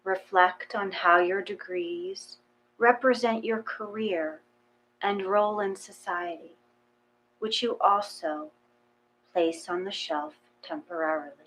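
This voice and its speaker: A woman reading a gentle guided meditation in a calm, measured manner, with long pauses between short phrases. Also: medium pitch, a little hiss or hum, rough recording.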